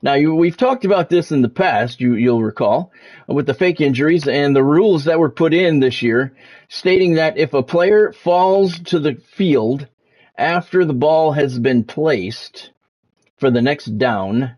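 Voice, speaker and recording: A man's voice: a little high, measured pace, lo-fi sound. A man hosting a livestream in a casual way, giving sports news and often stopping to repeat words and phrases. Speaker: livestream host, former radio play-by-play announcer.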